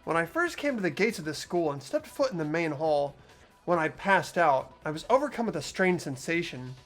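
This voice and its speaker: A woman's voice: deep voice, sing-song, moderate pace, soft background music. A woman playing an earnest, thoughtful young student investigator in a fan-voiced game. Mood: pensive. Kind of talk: fan dub of a visual novel game.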